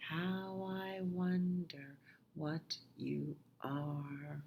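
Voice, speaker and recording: A woman with a low voice leads a storytime for small children. She takes her time, speaking very slowly with a gentle, expressive lilt. Her delivery is sing-song and often softens toward a hush. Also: slight background noise. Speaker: children's librarian and storytime host. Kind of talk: children's storytime with read-aloud and nursery rhymes.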